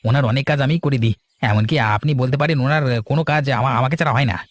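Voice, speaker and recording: A man acts out an ingratiating, self-important village sidekick in a cartoon tale. He talks extremely fast at a middle pitch, eager and boastful. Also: close to an everyday voice. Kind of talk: scripted cartoon dialogue.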